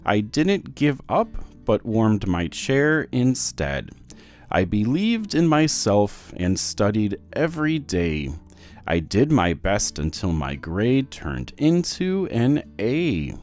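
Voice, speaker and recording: A man's voice: on the low side, takes it slowly, faint music behind. A man voicing a patient, encouraging father who reassures and teaches his young son in a children's storybook. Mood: confiding. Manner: reassuring, instructive, animated.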